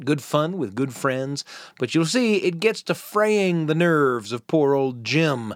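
A man's voice: on the high side, a bit bright, no echo, clean studio sound. A man hosting a podcast, reading a wry, conversational introduction. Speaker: podcast host. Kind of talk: scripted narration.

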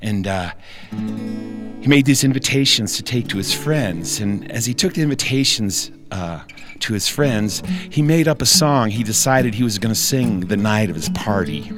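Low-voiced folksy male storyteller over guitar. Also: expressive. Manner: folksy, deliberate, playful telling. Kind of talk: live musical storytelling for an audience. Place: studio with audience. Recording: plucked guitar behind the voice. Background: plucked guitar.

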